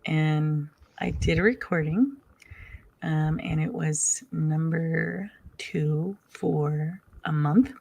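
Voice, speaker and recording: A soft-spoken woman speaks in a low voice with a gravelly edge. She talks as a guest over a video call, pausing often with ums as she tells her stories. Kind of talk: casual storytelling chat.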